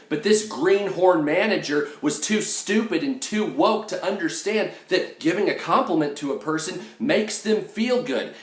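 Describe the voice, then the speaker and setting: A middle-aged man hosting a storytime video, telling personal stories in a brash, sweary, sarcastic way. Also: sits high. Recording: echoey room.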